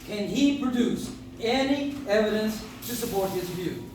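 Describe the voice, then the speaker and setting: Man as a pompous high-voiced prosecutor, very echoey. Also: expressive, background noise. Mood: indignant, formal. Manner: declamatory, indignant, deliberate. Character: exasperated, pompous male prosecutor, stiffly formal. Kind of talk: old-time radio courtroom drama acting. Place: coffee shop stage, recorded from the audience. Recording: echoey live stage, far-off audience recorder.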